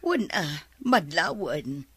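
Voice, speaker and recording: A woman's voice: sits low, sing-song, slow, a bit bright, husky, no room sound, full character voice. A middle-aged woman acting a worried, scolding mother in an Ilocano radio drama. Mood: worried, confiding.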